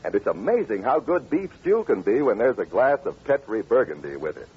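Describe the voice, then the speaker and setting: A man with an American accent working as a radio announcer and host in 1940s sponsor-pitch style. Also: sing-song, brisk. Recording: muffled, rough old radio recording.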